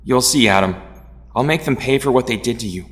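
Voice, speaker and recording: A man's voice: mid-range voice, fairly quick, natural voice. Guy playing angry young rebel hero, intense, audio drama, big reverb. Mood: bitter resolve. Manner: defiant, intense. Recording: long hall reverb.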